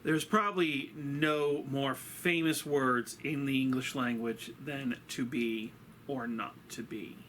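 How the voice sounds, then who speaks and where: A man teaching and storytelling from a script, with a theatrical, emphatic delivery and dramatic pauses. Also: medium pitch, fairly even intonation, a bit bright, slight background noise. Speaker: teacher and host of a story series. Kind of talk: scripted literary lesson and storytelling.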